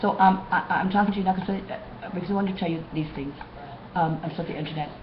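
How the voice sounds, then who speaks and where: A hoarse woman talking to her webcam in a rambling, repetitive way, often restarting her sentences. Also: fairly low pitch, steady tone, quick pace, lo-fi sound, background noise. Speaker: vlogger. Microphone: webcam mic.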